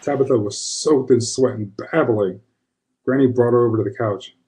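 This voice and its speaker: Man playing a mellow, confiding storyteller. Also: mid-range voice, moderate pace, lightly acted, low-quality recording. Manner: conversational, confiding. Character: self-described square man recalling his witch grandmother. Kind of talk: first-person storytelling monologue.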